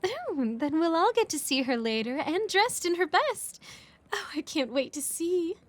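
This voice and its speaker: A woman playing a flattering, sly noblewoman in a dramatic audio role play, effusive and affectedly polite. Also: very high voice, a little slow, no room sound, full character voice. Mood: coy, excited.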